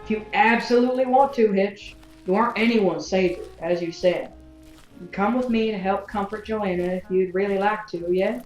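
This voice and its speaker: An actress playing a cool-headed gunslinger pilot in an audio drama, dry, teasing and practical. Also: female voice, mid-range voice, normal speed, darkish tone, natural voice. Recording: dull, echoey recording with music. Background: music.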